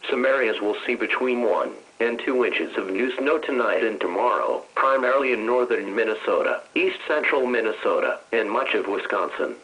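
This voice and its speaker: A man's voice: mid-range voice, lively intonation, moderate pace, hardly any pauses, a bit bright, poor audio. A synthetic American man's voice reading a scripted weather forecast in a formal, impersonal announcing manner. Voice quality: synthetic text-to-speech voice. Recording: weather radio broadcast reception.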